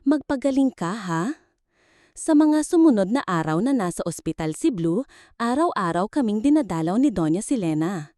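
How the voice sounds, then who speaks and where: A woman's voice: medium pitch, big pitch swings, normal speed, warm tone, dry recording, studio quality. A woman narrating a scripted dramatic story in the first person, with an earnest, sentimental delivery.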